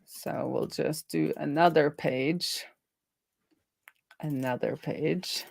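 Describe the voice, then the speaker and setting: Unhurried, low-key woman coding aloud. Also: creaky, a little mellow, dry recording. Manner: halting, muttering to herself while working. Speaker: live-streaming programmer. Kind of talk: casual think-aloud coding commentary.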